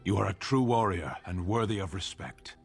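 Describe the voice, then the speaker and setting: A very deep-voiced, stern warrior from a fantasy game. His speech is solemn, terse and formal, like a soldier's. Music plays clearly behind the voice. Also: animated, moderate pace. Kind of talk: fantasy video game dialogue.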